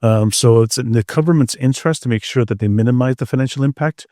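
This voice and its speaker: A man's voice: mid-range voice, fast, mellow, dry recording, studio quality. A middle-aged man speaking as an expert guest, explaining things in a conversational interview. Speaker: expert guest, security researcher.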